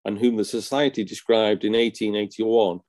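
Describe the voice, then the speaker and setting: A man, a museum collections officer, giving a measured, read seminar talk on a local society's history. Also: lowish voice, level tone, a little mellow. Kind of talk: read academic lecture.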